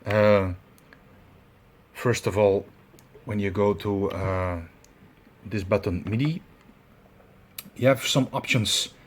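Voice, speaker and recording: A man with a Belgian accent walks through a hardware setup step by step. He speaks slowly and pauses often, filling the gaps with "uh" and "um" while he hunts for the right word. His voice sits on the low side. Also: fairly even intonation, slight background noise. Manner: halting, many fillers, searching for words. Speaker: tutorial presenter. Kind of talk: instructional demonstration.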